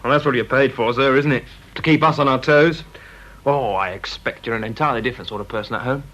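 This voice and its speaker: A man's voice: mid-range voice, fast talker, fairly bright tone, close to an everyday voice. An actor in a radio drama playing a young male office assistant, affable, respectful yet lightly cheeky. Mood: deferential, probing. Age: young adult. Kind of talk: British radio drama acting. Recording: dull old radio recording, faint noise.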